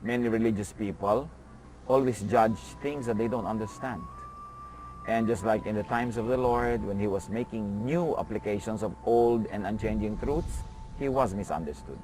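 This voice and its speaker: Man, low-ish voice, earnest explanatory interview talk, faint background music. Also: moderate pace, darkish tone, low-quality recording. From Philippines. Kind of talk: documentary interview.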